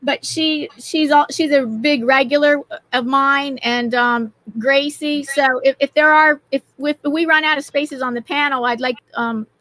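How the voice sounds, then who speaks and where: A mature woman hosting a panel casually, in a halting way with repeated words and restarts. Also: sits high, steady tone, a bit bright, low-quality recording. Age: 40s or older. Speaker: livestream panel host.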